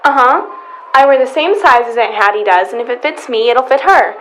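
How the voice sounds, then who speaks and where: A woman's voice: fairly high pitch, fairly quick, fairly bright tone, a little hiss or hum, natural voice, low-quality recording. A woman playing a genteel, fussy, motherly shopper in radio comedy style. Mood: chatty, pleasant. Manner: motherly, chatty. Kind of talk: old-time radio comedy acting.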